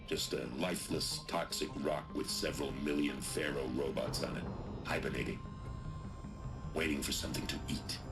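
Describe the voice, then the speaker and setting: A grim military commander with an extremely deep voice. He speaks solemnly and deliberately, with weighty resolve, as if delivering a dark briefing in a game. Loud music plays underneath. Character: grave military commander confessing global doom, grim and resolute. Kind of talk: dramatic recorded briefing in a video game cutscene.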